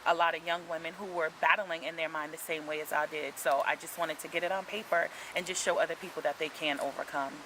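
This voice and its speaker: Bright-voiced woman, earnest and reflective. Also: sits low, steady tone, fast, few pauses, a little hiss or hum, low-quality recording. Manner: earnest, reflective, faith-centered storytelling with frequent "um". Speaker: author. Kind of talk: casual interview conversation.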